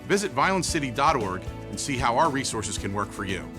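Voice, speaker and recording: A man's voice: medium pitch, very animated, fast talker. A man giving a proud, upbeat scripted promotional read. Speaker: ad speaker. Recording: ad with music bed. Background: music.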